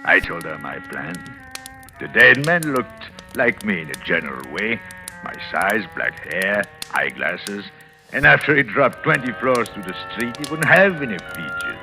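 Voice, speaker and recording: A man's voice: lowish voice, very animated, a little slow, pushed and bright, full character voice. An actor playing a scheming, self-assured husband in a 1940s radio thriller, theatrical and intense, confessing his own crime. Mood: brooding, smug. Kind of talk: 1940s radio thriller acting, first-person confessional narration. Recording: old radio recording with dramatic music.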